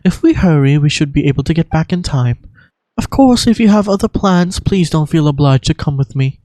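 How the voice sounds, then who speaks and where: An amateur voice actor playing a calm, courteous female guardian from a video game, speaking in a measured, cautioning way. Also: medium pitch, no echo, close to an everyday voice. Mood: composed.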